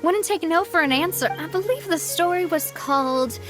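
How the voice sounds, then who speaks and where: A woman playing a confident, cheerful young female leader in a video game, with a breezy, expressive, boastful manner. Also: extremely high pitch, normal speed, over music. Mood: chatty, candid.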